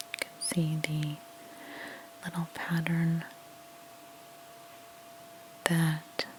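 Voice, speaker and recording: A woman speaking in a soft, breathy near-whisper, gently explaining each step in an ASMR roleplay narration. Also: lowish voice, a little hiss or hum, low-quality recording. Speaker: ASMR creator playing a house cleaner.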